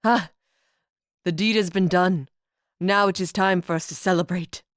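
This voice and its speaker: A woman voicing a calm, very tall cloaked man with a thick accent, drawing out his vowels slowly in a storybook reading. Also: fairly low pitch, dry recording, clean studio sound, natural voice. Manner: slow, overemphasized vowels.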